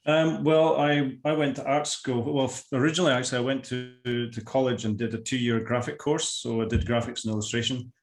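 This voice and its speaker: A man in his sixties from Scotland with a Scottish accent, a guest artist chatting over a video call in an unhurried, self-deprecating way with many restarts. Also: mid-range voice, fairly even intonation, reverberant. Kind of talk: casual interview chat.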